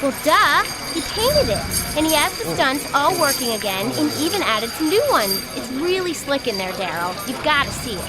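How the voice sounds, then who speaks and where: An actress playing a brash, excitable female carnival worker, slangy, pushy and teasing, in an audio drama. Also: high-pitched, moderate pace, few pauses, loud music behind the voice, poor audio. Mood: sassy, impressed.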